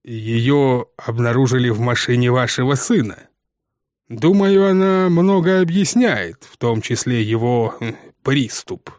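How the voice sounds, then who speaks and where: A man's voice: mid-range voice, a little slow, a little mellow, no room sound, clean studio sound, lightly acted. A man voicing a pompous senior police inspector, formal, insistent and self-important, in an audiobook. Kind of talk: dramatised audiobook dialogue.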